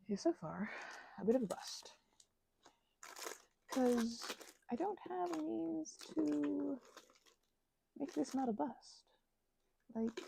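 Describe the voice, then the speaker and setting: A woman's voice: on the high side, gravelly, dark tone, breathy edge, dry recording. A woman chatting casually in an ASMR craft ramble, whimsically talking to herself and often drifting into whispers.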